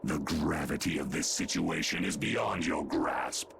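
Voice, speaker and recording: A man voicing an ancient, stern, imperious creature in a video game, with gravelly, formal, solemn speech. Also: rumbling low, steady tone, unhurried, heavy background noise, full character voice. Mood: stern judgment. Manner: formal, solemn, archaic phrasing. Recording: game music behind the voice.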